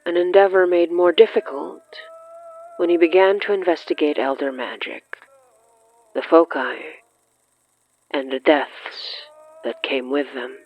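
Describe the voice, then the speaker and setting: Slow, deliberate narrator in dull lo-fi sound. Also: mid-range voice, fairly even intonation. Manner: measured, halting, with weighty pauses. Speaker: narrator of a horror fiction podcast. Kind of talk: slow, dramatic scripted narration.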